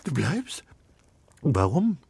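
A gentle old codger of a father in a children's radio play. His voice is very husky and breathy, slow and halting, and it swings widely in pitch, close and dry. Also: huge pitch swings, no echo, exaggerated voice. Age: older. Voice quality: husky, often dropping to a whisper.

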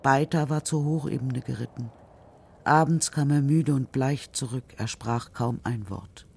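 A narrator reading a children's radio drama story in a measured, serious, literary style. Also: mid-range voice, vocal fry, darkish tone, breathy edge.

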